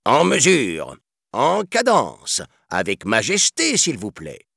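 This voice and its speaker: A man's voice: mid-range voice, huge pitch swings, slow pace, creaky, pushed and bright, breathy edge, dry recording, studio quality, voice pushed up, full character voice. A man voicing a pompous, stern old elephant colonel in a children's storybook, barking like an officer on parade. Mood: commanding. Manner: barking parade-ground commands.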